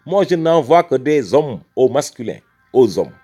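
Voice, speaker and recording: A man's voice: medium pitch, big pitch swings, a little slow, dark tone, faint music behind. A man from Senegal arguing a religious point with emphatic rhetoric, repeating phrases and calling the listener brother. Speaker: religious speaker. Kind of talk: impassioned religious argument.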